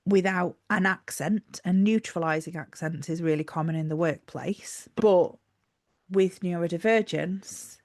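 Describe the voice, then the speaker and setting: Gravelly Yorkshire woman chatting. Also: lowish voice, a little slow, darkish tone, no echo, studio quality. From Yorkshire, England. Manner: thoughtful, explaining with frequent fillers and restarts. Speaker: podcast co-host. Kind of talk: casual podcast chat.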